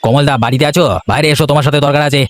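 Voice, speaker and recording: A man's voice: a little high, steady tone, very fast, close to an everyday voice. A man voicing a hot-headed, argumentative village husband in a cartoon. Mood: angry, confrontational. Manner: blustering, argumentative. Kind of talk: Bengali cartoon voice acting.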